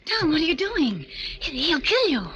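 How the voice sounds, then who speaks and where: A woman's voice: very high voice, big pitch swings, normal speed, a bit bright, heavily acted. An actress playing a frightened, worrying young woman in a 1940s radio crime drama. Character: frightened, anxious young woman, detective's devoted companion. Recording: dull, noisy old radio recording.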